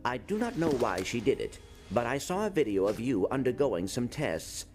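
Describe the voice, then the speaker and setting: An actor playing a small, polite, earnest robot sidekick in a game cutscene, speaking formally and carefully. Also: male voice, mid-range voice, very animated, normal speed, darkish tone, lightly acted. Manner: formal, careful wording without contractions. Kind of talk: video game cutscene acting. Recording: music behind the voice.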